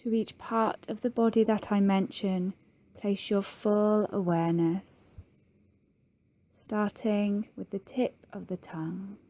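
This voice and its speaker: A woman leading a guided yoga nidra meditation in a soft, soothing manner with long pauses. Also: mid-range voice, little pitch movement.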